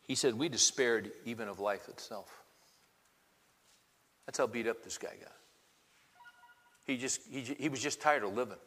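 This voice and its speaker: A man's voice: mid-range voice, normal speed, pauses often, fairly bright tone, breathy edge. A man in his fifties preaching a sermon, talking conversationally and putting many questions to the congregation. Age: 50s. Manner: conversational, asks the crowd many rhetorical questions. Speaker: pastor. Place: church hall with congregation. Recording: long reverb of a large hall.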